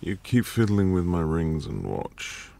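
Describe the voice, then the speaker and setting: Man acting as teasing dominant boyfriend, ASMR roleplay, low voice, heavy vocal fry, breathy murmurs, intimate hums. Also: sing-song, measured pace, dark tone, full character voice. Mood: playful, teasing. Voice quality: breathy, gravelly murmur often dropping to whisper. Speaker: audio roleplay performer.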